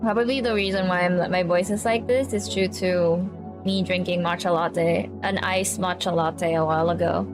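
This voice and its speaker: A woman from the Philippines, slightly raspy, chatty and giggly as she reads a visual novel aloud on stream. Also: fairly low pitch, steady tone, moderate pace. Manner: chatty, giggly, reacting to the game. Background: game music.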